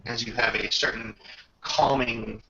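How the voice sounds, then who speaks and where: A man narrating a tabletop game as game master in a halting way, often pausing mid-sentence, on a dull home microphone. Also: medium pitch, fairly even intonation, gravelly, a loud crowd.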